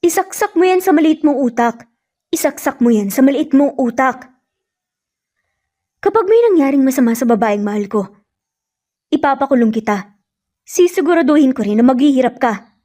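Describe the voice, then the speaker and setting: Woman voicing an intense, lovelorn young man, mellow. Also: high-pitched, animated, normal speed, pauses often. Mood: bitter anger. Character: anguished, angry young man fiercely devoted to his beloved. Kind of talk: dramatic romance storytelling.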